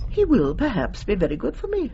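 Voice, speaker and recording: An actor playing a courtly, proud older man in a radio comedy drama, speaking in a measured, formal and gently condescending way. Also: high-pitched, wildly swooping pitch, full character voice. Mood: gently reproachful. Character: courtly, proud older man fond of his lady friend. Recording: dull, noisy radio drama recording.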